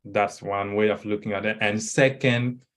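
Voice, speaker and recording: A man's voice: fairly low pitch, fairly even intonation, moderate pace, fairly bright tone. A middle-aged man, not a native English speaker, talking on a video call through a headset, hesitant with many fillers and restarts. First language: not English, not French. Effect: video call audio. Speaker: author and scholar. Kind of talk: casual panel talk. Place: indoor room.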